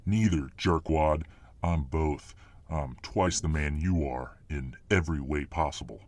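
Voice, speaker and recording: A man playing a gruff, protective, muscular fireman boyfriend full of tough-guy bluster in an ASMR roleplay. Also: a bass voice, measured pace, a little mellow, no echo. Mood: defiant.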